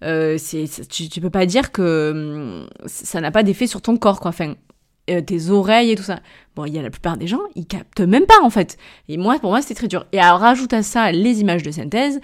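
A woman's voice: fairly low pitch, lively intonation, fairly quick. Chatty rambling woman, southern French accent. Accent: southern French, Avignon. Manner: chatty and rambling, full of hesitations, self-corrections and brief laughs; addresses the listener familiarly. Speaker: podcast host, entrepreneur. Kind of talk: casual personal storytelling monologue.

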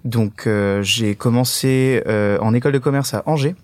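A man's voice: medium pitch, moderate pace, no echo. A young adult man from Portugal, speaking as a podcast guest in a hesitant way with many fillers. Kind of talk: casual interview talk.

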